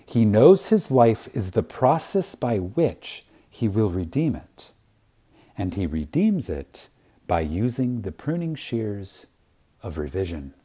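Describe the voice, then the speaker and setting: Slow, reverent man with a husky, mellow voice. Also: on the low side, very animated. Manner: reverent, deliberate, with long pauses and emphasis, phrases trailing off softly. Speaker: reader of a spiritual lecture. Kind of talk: dramatic reading of an inspirational lecture.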